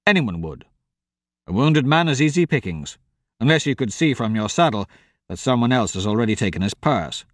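A man voicing a cold, sardonic young male thief who talks in a dry, clipped, deadpan way. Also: mid-range voice, normal speed, dry recording, lightly acted.

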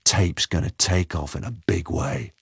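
A man voicing an easygoing, sweet-natured working bloke who grumbles in an unhurried, laconic way in an audiobook. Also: low voice, gravelly, husky, no echo, clean studio sound, exaggerated voice. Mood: wry, easygoing.

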